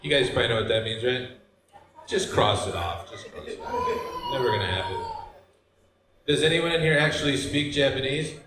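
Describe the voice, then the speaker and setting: A man in his forties from Michigan, with a Michigan accent, doing a deadpan stand-up set in a brewery with loose asides to the crowd. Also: mid-range voice, normal speed, noisy background. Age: 40s. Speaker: stand-up comedian. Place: brewery stage before a live audience. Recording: roomy live venue sound.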